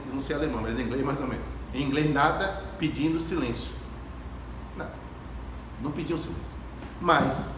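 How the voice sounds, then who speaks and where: A man gives a reflective spiritual lecture to an audience, telling stories emphatically with repetition and rhetorical questions. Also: a little high. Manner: emphatic, repeats phrases and asks rhetorical questions. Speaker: speaker at a Spiritist gathering. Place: ecumenical hall before an audience. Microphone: distant phone camera. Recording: echoey hall, distant phone camera, clear background noise.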